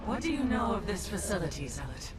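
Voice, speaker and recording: An actress playing a proud, stern alien warrior commander in a video game, formal and commanding. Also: female voice, medium pitch, animated, fairly quick, heavy background noise, lightly acted. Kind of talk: video game cutscene voice acting. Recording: distant voice under loud music.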